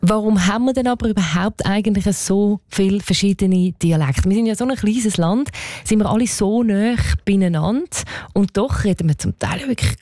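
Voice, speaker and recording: A woman's voice: mid-range voice, moderate pace, dark tone, no echo. A woman with a Zurich Swiss German accent hosting a radio show, asking curious questions and moving the program along. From Switzerland. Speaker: radio host. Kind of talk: radio interview. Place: radio studio.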